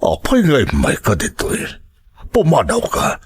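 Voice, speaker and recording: An actor playing a defensive middle-aged father in a radio drama, with a rough, husky voice and gruff, halting delivery. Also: on the high side, huge pitch swings, heavily acted. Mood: startled, dismissive. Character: defensive, well-off middle-aged father hiding a secret family. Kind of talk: Filipino radio drama acting.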